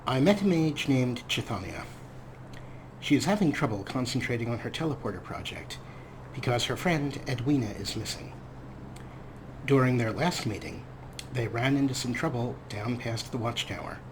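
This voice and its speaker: A man's voice: mid-range voice, lively intonation, normal speed, background noise. A man hosting a game let's play, commenting in a sarcastic, self-mocking way with casual swearing. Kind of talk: gameplay commentary.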